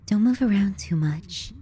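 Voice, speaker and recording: A woman plays a teasing, clingy younger sister in an intimate ASMR roleplay. Her voice is dark and soft, close on the mic, with breathy dips toward a whisper. She takes her time, delivering sardonic jabs with a possessive edge. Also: medium pitch, slight background noise.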